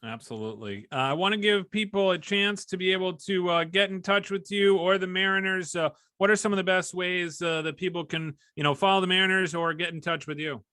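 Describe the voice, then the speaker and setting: A man's voice: high-pitched, fairly quick, few pauses, bright, forceful tone, smooth, no room sound, studio quality. An American man hosting a podcast conversationally, speaking in an appreciative, encouraging way to a guest. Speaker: podcast host, sports broadcaster.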